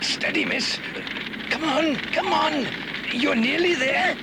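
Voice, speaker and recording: A man's voice: very high voice, takes it slowly, very noisy, pitched above the performer's own voice, full character voice. A man playing an obliging, deferential school handyman in a children's audio drama, calling out urgently. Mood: steady reassurance.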